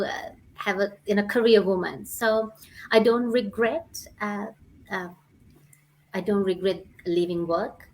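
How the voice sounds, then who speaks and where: A woman in her forties, originally from Sri Lanka, gives a personal testimonial. She speaks hesitantly, filling her pauses with many ums and uhs, at a mid-range pitch. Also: slight background noise, poor audio. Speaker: guest sharing her story.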